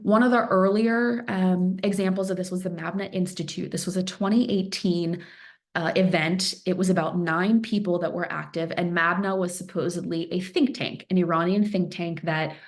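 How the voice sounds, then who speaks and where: An earnest woman gives a webinar briefing as an analyst, leaning on words and repeating phrases to drive points home. Her voice sits a little low for a woman, and she talks steadily with the odd "um". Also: fairly even intonation, normal speed. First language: Farsi speaker. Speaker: threat intelligence analyst presenting. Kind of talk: webinar presentation.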